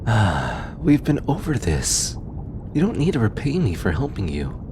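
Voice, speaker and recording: A man voicing a gentle, teasing alien researcher in an intimate ASMR roleplay, hesitant and affectionate. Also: fairly low pitch, wildly swooping pitch, a little slow, noisy background, heavily acted. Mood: reassuring. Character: gentle, teasing, grateful male alien researcher aboard spacecraft.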